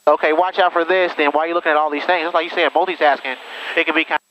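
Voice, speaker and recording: A man's voice: a little high, steady tone, racing, fairly bright tone. A man coaching and chatting casually as a pilot over a cockpit headset, encouraging and informal. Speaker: pilot host coaching and interviewing a guest. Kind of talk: casual in-flight instruction and chat. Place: small airplane cockpit in flight. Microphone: aviation headset intercom. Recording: dull lo-fi cockpit radio audio, engine noise.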